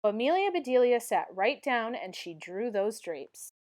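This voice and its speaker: A woman reading a children's storybook aloud in an expressive, clearly phrased narration. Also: fairly high pitch, unhurried. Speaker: storybook reader.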